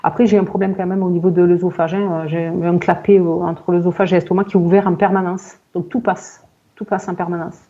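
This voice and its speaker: A woman in her forties giving a halting personal account in conversation, searching for words and often repeating herself. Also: on the low side, level tone, narrow, tinny audio. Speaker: client in a hypnosis session interview.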